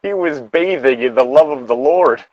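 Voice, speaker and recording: A man's voice: medium pitch, moderate pace, no room sound, muffled recording. A man co-hosting a comedic fan fiction reading, moving between narration and sardonic, incredulous casual banter.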